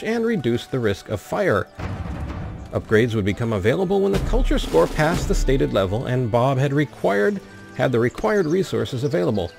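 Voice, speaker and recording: A man's voice: mid-range voice, vocal fry, a little mellow. A man hosting a gaming video, commenting on play and reading the game's story text aloud. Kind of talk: let's play commentary mixed with reading in-game narration aloud.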